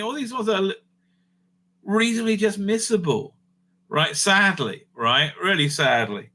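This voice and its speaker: A man with a fairly high, strikingly bright and sharp voice hosts a casual review stream. He rambles off the cuff with firm opinions, often checking in with a short "right?" at the end of a thought. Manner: rambling, opinionated, repeatedly tags phrases with "right?". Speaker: host of a livestream review show. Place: indoors at home.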